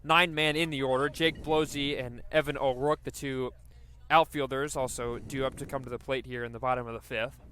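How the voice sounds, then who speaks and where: A man's voice: mid-range voice, steady tone, fairly quick, sharp tone. A man doing live radio baseball play-by-play, describing the action and working in stats as he goes. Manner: descriptive, stat-laden delivery.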